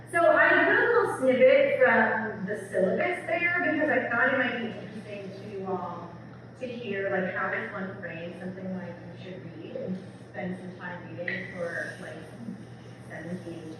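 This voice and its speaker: A woman's voice: mid-range voice, expressive, normal speed. A woman speaking as an English professor giving a public book talk in a conversational manner, often checking in with her listeners. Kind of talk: public lecture, semi-scripted. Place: large reverberant room, recorded from afar. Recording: distant mic, echoey hall, noisy background.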